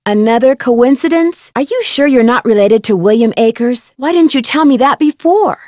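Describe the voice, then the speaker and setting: A woman playing a curious, upbeat young female detective in a video game, speaking clearly in a polite, friendly, inquisitive way. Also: a little high, lively intonation, normal speed, a little mellow, no echo, clean studio sound, lightly acted. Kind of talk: scripted video game voice acting.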